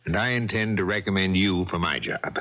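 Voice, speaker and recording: A man's voice: low voice, fairly quick, sharp tone. A man playing a smug, two-timing executive husband with emphatic delivery in a 1970s radio drama. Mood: hearty. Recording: dull 1970s radio broadcast recording.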